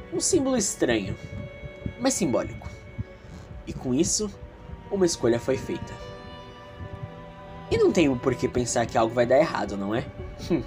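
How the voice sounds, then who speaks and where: A man with a Brazilian accent narrating an audio drama in a theatrical, confiding way with chatty asides. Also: medium pitch.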